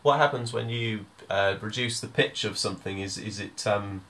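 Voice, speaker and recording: A man giving a hands-on music software tutorial, explaining step by step in an informal, hesitant way. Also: lowish voice, normal speed.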